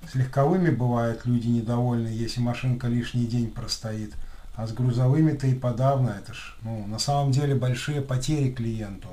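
A man's voice: medium pitch, mellow. Lisping man in an echoey room. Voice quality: lisping after dental work. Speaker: electronics repair technician and video host. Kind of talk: casual hands-on tutorial commentary. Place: small room at a desk, indoors. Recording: roomy echo with clear background noise.

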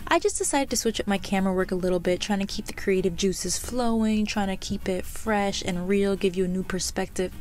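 A woman's voice: mid-range voice, brisk, hardly any pauses, a little mellow. Chatty young American woman vlogger. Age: young adult. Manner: chatty, playful, humorous, conversational. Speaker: vlogger reviewing a hair salon visit. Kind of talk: casual vlog narration to viewers.

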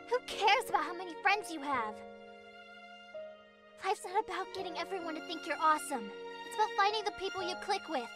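Woman acting a feisty, very high-voiced schoolgirl. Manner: blunt, emotional, heartfelt. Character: feisty, blunt teenage schoolgirl, fiercely loyal friend. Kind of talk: anime fandub acting. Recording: music behind the voice.

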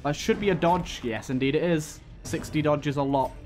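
A man's voice: a little high, moderate pace, darkish tone, faint music behind. A man giving casual let's-play commentary, thinking aloud as he plays. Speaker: gaming video host.

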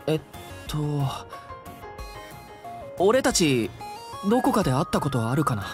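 A Japanese actor playing a polite, earnest, slightly awkward young soldier hero in an anime game. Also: male voice, a little high, sing-song, measured pace, music in the background. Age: young adult. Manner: polite, hesitant.

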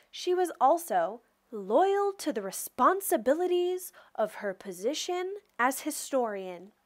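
A woman reading a children's picture book aloud with careful, expressive storytelling delivery. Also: a little high, dry recording. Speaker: storybook reader.

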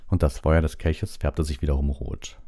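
A man reading an audiobook and hosting a livestream, with a relaxed, informal way of talking. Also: extremely low pitch, moderate pace. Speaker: audiobook reader, livestream host. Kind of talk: narration and casual livestream chat.

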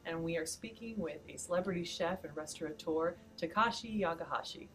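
A woman in her thirties with a low voice conducts a friendly interview, upbeat and quick to affirm. She sits at an indoor store event, with faint music in the background. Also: a bit bright. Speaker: interviewer and blog host. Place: indoor store event, seated before a branded backdrop.

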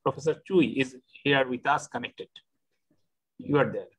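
A man's voice: medium pitch, normal speed, fairly bright tone, slightly rough. A man moderating a session, introducing the speaker politely and hesitantly. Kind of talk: formal introduction.

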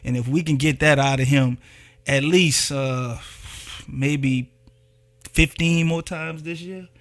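A man's voice: on the high side, steady tone, slow pace, a bit bright. A man co-hosting a sports podcast, chatting casually with slang and lots of "like" and "man.".

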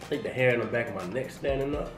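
A man's voice: medium pitch, racing. A young man swearing and bantering casually at a dining table, picked up by a camera on the table. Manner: swears freely, animated, nervous laughter. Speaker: guest, vlogger's husband. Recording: echoey room with background music.

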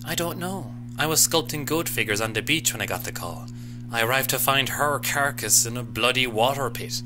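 A man's voice: mid-range voice, normal speed, close to an everyday voice. A man playing a bossy, exasperated imp in a radio play, anxious and scolding. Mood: agitated. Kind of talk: short radio play acting. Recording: loud music behind the voice.

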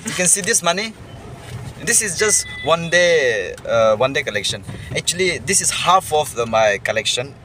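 A man's voice: fairly high pitch, sing-song, normal speed, sharp tone, slight background noise. A young man chatting casually and jokingly into a phone held at arm's length, rambling with lots of fillers. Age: young adult. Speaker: vlogger. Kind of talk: casual vlog chat. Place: car, then a restaurant.

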